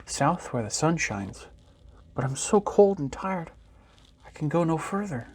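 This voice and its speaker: A man's voice: on the high side, big pitch swings, measured pace, a little mellow, breathy edge, full character voice. A man voicing a small, tired female bluebird in a storybook, plaintive and weak. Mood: weak, weary.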